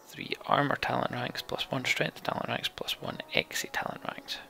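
A man's voice: medium pitch, huge pitch swings, normal speed, vocal fry, bright, forceful tone, in a whisper, faint music behind. A man doing let's play commentary on a game, reading text aloud and talking through choices.

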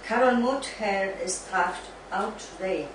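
A woman's voice: mid-range voice, slow, close to an everyday voice. A woman playing a sensible, caring, teasing young friend in an amateur sitcom reading. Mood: brisk, caring. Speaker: student in an amateur dramatized reading. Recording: distant, very echoey room, clear noise.

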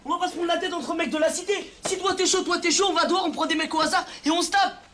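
A man playing a cocky, excitable young guy from a housing estate, speaking insistent slang with a French banlieue accent in a film. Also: very high voice, quick pace, a little hiss or hum. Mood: exasperated. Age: young adult. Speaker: film actor. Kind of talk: naturalistic film dialogue in street slang.

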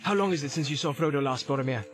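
A man's voice: a little high, racing, a bit bright, natural voice. A man voicing a grave, authoritative leader of a company, speaking formally in a storybook reading. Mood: stern questioning. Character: grave, commanding male ranger, leader of a company.

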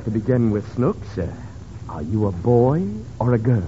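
An actor playing a self-important, formal doctor questioning patients in a 1930s radio comedy. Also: male voice, fairly low pitch, moderate pace, natural voice. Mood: probing. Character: self-important, patient but easily interrupted psychoanalyst doctor. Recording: muffled, hissy 1930s radio recording.

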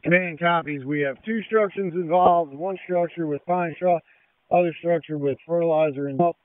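Over a tinny, narrow-band two-way radio, a man with a fairly high, clear voice gives terse emergency traffic. He talks like a fire officer in command, in clipped procedural phrases. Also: normal speed, no echo.

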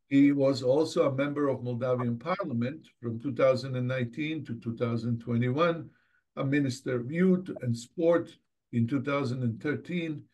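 A middle-aged man speaks as the moderator of an online seminar. His voice sits at a medium pitch as he reads out a formal, prepared introduction. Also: darkish tone, low-quality recording. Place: indoors, office, on a video call.

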